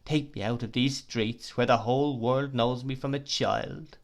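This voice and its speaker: A man's voice: medium pitch, steady tone, unhurried, lightly acted. Man reading a desperate, ashamed young woman. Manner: weary, shivering pleas.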